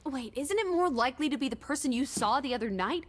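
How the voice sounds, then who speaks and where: Cheeky young female sidekick, highish voice, very animated intonation, theatrical, teasing, story game. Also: quick pace, a little hiss or hum. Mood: cautious. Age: young adult. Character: sociable, teasing, enigmatic young female companion, imaginative and bold. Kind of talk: dramatic video game dialogue.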